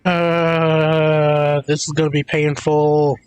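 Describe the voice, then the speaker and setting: Casual man, slightly high voice. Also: flat delivery, slow, smooth, no room sound. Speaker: game streamer. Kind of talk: casual livestream chat.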